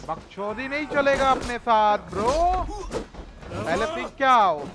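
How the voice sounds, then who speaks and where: A man's voice: very high voice, expressive, very fast. A man giving brash, slangy, casual commentary as he plays a game. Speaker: gameplay commentator.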